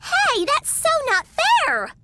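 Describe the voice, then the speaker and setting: A woman voicing a bubbly, bratty little girl in a video game cutscene, with a high, squealing, excitable voice. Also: slow pace, a little hiss or hum, heavily acted. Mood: whiny.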